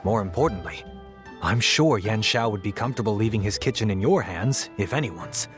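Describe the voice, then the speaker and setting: An actor playing a kindly, gently firm innkeeper in a video game, speaking in a measured, polite, reassuring way. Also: male voice, fairly low pitch, expressive, natural voice. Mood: genial. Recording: music playing behind the voice.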